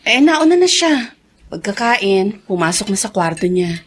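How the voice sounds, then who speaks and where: A woman acting a worried, devoted adult daughter in a Filipino radio drama, earnest and gentle. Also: mid-range voice, sing-song, unhurried, slight background noise. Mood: concerned, surprised.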